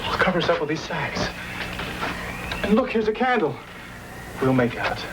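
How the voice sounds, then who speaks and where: An actor playing a protective, reassuring husband in an old-time radio adventure drama. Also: male voice, on the high side, sing-song, normal speed, heavy background noise. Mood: grim but hopeful. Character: protective husband, steady and reassuring under danger. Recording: old radio drama with loud music behind.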